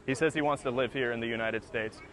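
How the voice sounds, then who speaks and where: A man reporting from outdoors at a border crossing, asking people quick questions. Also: mid-range voice, steady tone, a crowd in the background. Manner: quick, questioning, switches to Spanish. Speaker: field reporter. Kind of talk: on-the-scene news report. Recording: outdoor field recording.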